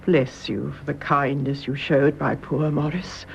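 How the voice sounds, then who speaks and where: An actress playing a grave, loving elderly British mother in a radio drama, speaking in a measured, gentle, solemn way. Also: sits low, fairly even intonation. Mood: tender, solemn. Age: older. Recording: muffled radio recording, clear background noise.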